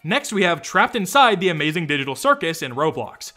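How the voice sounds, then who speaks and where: Animated, sharp-voiced young man ranting sarcastically. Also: on the high side, fairly quick, faint music behind. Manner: snarky, exasperated, freely swearing. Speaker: YouTube commentator. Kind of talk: sarcastic video commentary with ranking verdicts.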